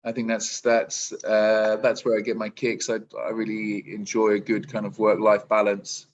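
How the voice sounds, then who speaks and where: A man in his forties, a business coach, answering interview questions in a relaxed, rambling way with many restarts over a video call. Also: fairly low pitch, moderate pace, lo-fi sound. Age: 40s.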